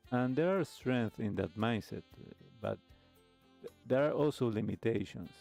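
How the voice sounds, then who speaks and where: A man hosting a talk show, giving reflective commentary in measured, halting phrases with pauses between short clauses. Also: fairly low pitch, gravelly, warm tone. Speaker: host of a live retro music talk show. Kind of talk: reflective commentary, reacting to a video. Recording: music playing behind the voice. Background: music with guitar.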